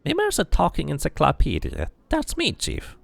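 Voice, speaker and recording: A man's voice: a little high, huge pitch swings, normal speed, creaky, a bit bright, no room sound, pitched above the performer's own voice, heavily acted. A man voicing a chatty, cheeky talking skull companion in a fantasy role-playing game.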